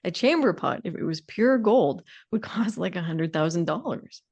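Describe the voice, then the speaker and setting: A woman's voice: lowish voice, lively intonation, normal speed, a little mellow, breathy edge. Sardonic, opinionated woman chatting casually. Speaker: podcast co-host. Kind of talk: casual conversational discussion.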